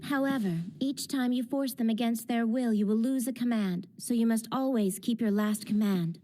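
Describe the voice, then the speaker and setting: A woman playing a haughty, sharp-tongued teenage girl mage who explains things smugly, in an English anime dub. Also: fairly high pitch, moderate pace, close to an everyday voice. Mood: smug, explanatory. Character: haughty, brisk teenage girl mage, exasperated know-it-all. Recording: loud music behind dialogue. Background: loud music.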